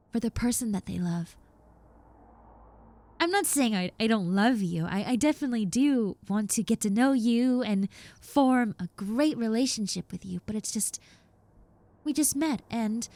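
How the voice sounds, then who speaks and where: A woman playing an earnest, remorseful reformed stalker roommate in an intimate audio roleplay, speaking softly and hesitantly. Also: on the high side. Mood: earnest, reflective. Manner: soft, hesitant, halting with repeated words.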